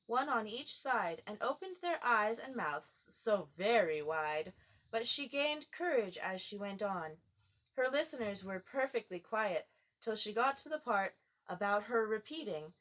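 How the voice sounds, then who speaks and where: A woman's voice: medium pitch, a bit bright. A woman reading a children's story aloud as an audiobook narrator.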